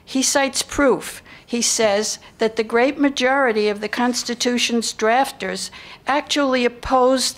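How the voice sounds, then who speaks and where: An older woman delivers a scripted narration into a lectern microphone. Her voice sits a little higher than most women's, and she reads from a prepared text. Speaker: narrator in a staged reading. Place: indoor hall with seated audience.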